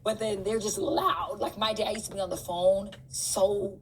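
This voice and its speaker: A woman's voice: medium pitch, animated, measured pace. An older woman chatting casually on a podcast, talkative and laid-back. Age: older adult. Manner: talkative, laid-back, says she is loud. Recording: distant mic with faint room noise.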